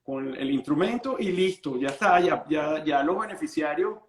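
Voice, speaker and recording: A man's voice: fairly high pitch, animated, normal speed. Man explaining law in an echoey room. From Venezuela. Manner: explains patiently, many restarts and repeated words. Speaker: estate planning lawyer. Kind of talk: explanatory interview answers.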